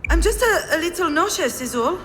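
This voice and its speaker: A woman's voice: very high voice, expressive, brisk, fairly bright tone, a little hiss or hum. An actress playing a frazzled, self-deprecating woman who narrates her inner monologue in a visual novel game, sardonic and confessional. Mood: flustered, apologetic. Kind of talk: visual novel voice acting, first-person narration.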